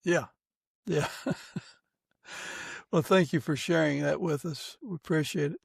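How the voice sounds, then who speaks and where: A man's voice: on the high side, a little slow, gravelly, breathy edge, no echo, clean studio sound. A man hosting a casual podcast interview in a conversational way.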